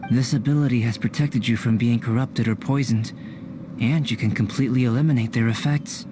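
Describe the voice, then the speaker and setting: An actor playing a calm young alchemist scholar in a game cutscene, speaking softly with precise, formal, contemplative phrasing. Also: male voice, mid-range voice, noisy background, lightly acted. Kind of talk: scripted game cutscene acting.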